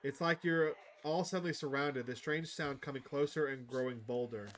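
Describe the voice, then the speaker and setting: A man's voice: medium pitch, normal speed, a little hiss or hum. A man hosting a gaming video, reading visual novel narration aloud and adding casual commentary. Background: music.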